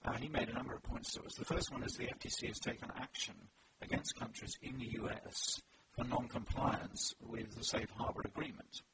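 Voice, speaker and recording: A man's voice: medium pitch, huge pitch swings, fairly quick. A British man answering interview questions as a lawmaker, hesitant, with many restarts and fillers. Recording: loud background noise.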